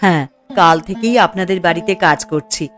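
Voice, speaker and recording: A woman's voice: lowish voice, brisk, lightly acted. A woman voicing a humble, deferential housemaid and mother who speaks guardedly in a cartoon. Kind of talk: Bengali moral-story cartoon dubbing. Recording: music under the voice.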